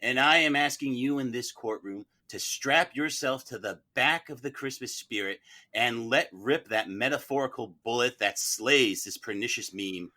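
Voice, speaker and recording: A man's voice: medium pitch, normal speed, hardly any pauses, bright, forceful tone. A man playing a trial lawyer, delivering a grandiose, dramatic closing speech from a script. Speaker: mock-trial lawyer on a podcast.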